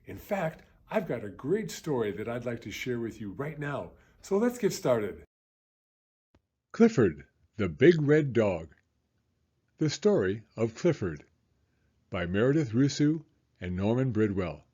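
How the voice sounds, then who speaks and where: Lively male children's storybook narrator. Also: mid-range voice, slightly rough. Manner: expressive, deliberate storytelling. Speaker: storybook reader. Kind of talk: children's read-aloud narration.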